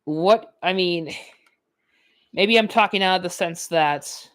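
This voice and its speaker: 19 yo woman gamer rambling over gameplay, kinda low voice, lots of likes and laughs. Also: takes it slowly, a bit bright, clean tone. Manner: chatty, filler-heavy, laughs often. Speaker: gaming YouTuber. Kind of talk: rambling let's-play commentary.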